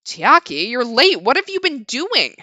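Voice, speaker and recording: A woman's voice: fairly high pitch, very animated, a little slow, bright, forceful tone, no echo, full character voice. A woman voicing a stern adult man who speaks bluntly and impatiently.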